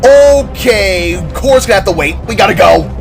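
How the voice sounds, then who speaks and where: A man voicing a gruff, flustered father and leader in a dramatic audiobook, exclaiming urgently. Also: sits high, lively intonation, normal speed, music in the background, pitched above the performer's own voice. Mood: alarmed. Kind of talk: dramatic audiobook character acting.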